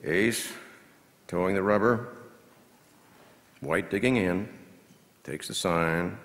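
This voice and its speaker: A man performing a scripted baseball play-by-play as an announcer, in measured, clipped phrases that can swell into excited calls. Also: low-pitched. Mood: measured, watchful. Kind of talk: scripted radio-style baseball commentary, read as a performance. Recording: long reverb of a large hall.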